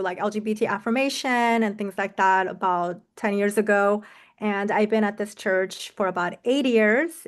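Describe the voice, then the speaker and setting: A woman pastor, born in Korea, introducing herself casually on a video call panel with many fillers. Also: fairly high pitch.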